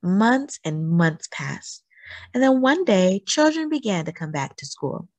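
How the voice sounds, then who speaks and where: A woman reads a story aloud for children in a slightly low, husky voice. Her intonation is animated and expressive, with deliberate emphasis on phrases. The sound is dry and close, with little room echo. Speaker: children's book reader.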